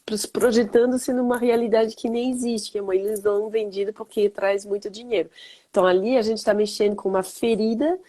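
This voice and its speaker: French woman, guest expert, mellow warm voice, stuffy nose, thoughtful. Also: mid-range voice, fairly even intonation, brisk. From France. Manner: reflective, talks with hesitations. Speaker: guest biologist and holistic therapist. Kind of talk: casual interview talk. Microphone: video call mic.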